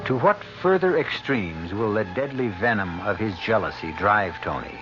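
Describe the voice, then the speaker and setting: Male mystery narrator, low and expressive, ominous delivery, muffled old broadcast with music behind. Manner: ominous, dramatic, deliberate. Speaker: host and narrator. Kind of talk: scripted radio mystery narration.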